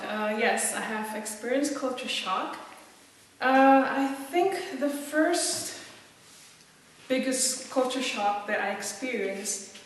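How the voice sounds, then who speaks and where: A woman from Indonesia who grew up in several countries, thinking aloud hesitantly as she answers in a reflective interview. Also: a little high. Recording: very echoey room, distant mic.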